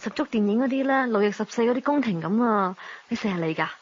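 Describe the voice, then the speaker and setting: High-voiced, curious young woman. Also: animated, quick pace. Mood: playful, curious. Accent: Hong Kong Cantonese. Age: young adult. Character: curious, cheeky young female fashion student, lively. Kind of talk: radio drama narration and dialogue. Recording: dull lo-fi audio.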